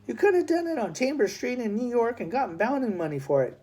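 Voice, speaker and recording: A man performs a stern, gray-bearded sergeant from a historical storybook. He is brusque and folksy, firing off challenges in a raised pitch with a soft-edged, mellow tone. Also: animated, fast. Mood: gruff, challenging. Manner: brusque, questioning, folksy grammar. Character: stern gray-bearded army sergeant, gruff but amused.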